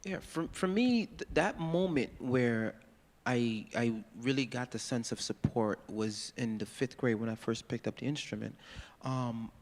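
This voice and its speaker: A man from Ghana speaks on a live festival panel in a middle-pitched voice. He tells earnest stories and quotes his mentors in a firm tone. Long hall reverb trails every phrase. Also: unhurried, darkish tone. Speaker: panelist, musician and volunteer mentor. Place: large hall.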